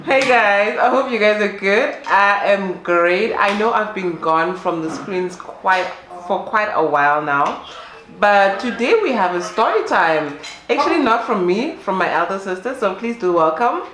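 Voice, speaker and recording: Lively Namibian woman hosting in echoey room. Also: mid-range voice, measured pace. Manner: playful, reactive interjections. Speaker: host. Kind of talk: casual chat. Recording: echoey room with clattering background noise.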